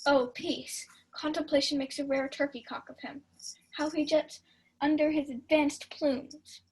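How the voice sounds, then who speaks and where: Young woman acting a sneaky gleeful schemer girl, really high voice, shakespeare radio play. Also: level tone, a little slow. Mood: mocking aside. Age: young adult. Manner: conspiratorial, gleeful, theatrical. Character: sly, mischievous young woman plotting a prank. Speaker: student actor. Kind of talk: Shakespeare comedy as 1940s-style radio play.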